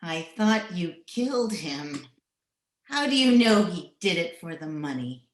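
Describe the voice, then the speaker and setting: A woman's voice: on the low side, sing-song, a little slow. A woman playing a bitter, selfish old frontier widow in an old-time radio western, with taunting, rustic phrasing. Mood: vengeful. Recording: roomy sound, recorded over internet call.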